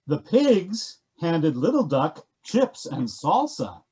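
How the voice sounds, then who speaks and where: A man with a husky voice narrating a children's storybook. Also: fairly high pitch, big pitch swings, slow pace, darkish tone. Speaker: readers theatre narrator. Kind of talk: storybook reading.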